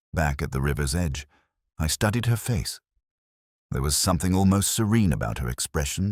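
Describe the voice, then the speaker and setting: Super deep guy narrating a detective story, brooding n measured, lots of rise and fall, dry sound. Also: darkish tone, no echo. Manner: measured, literary, brooding delivery. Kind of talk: scripted first-person mystery narration.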